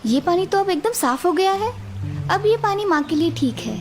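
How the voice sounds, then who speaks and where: A woman's voice: very high-pitched, expressive, normal speed, pitched above the performer's own voice. A woman voicing a young village wife who is fed up with housework and complains sarcastically. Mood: questioning.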